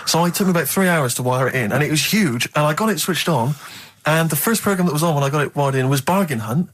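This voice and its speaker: Male radio presenter, rapid raspy delivery, animated comic storytelling. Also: on the high side, poor audio. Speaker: radio show host. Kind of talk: casual comedic radio chat.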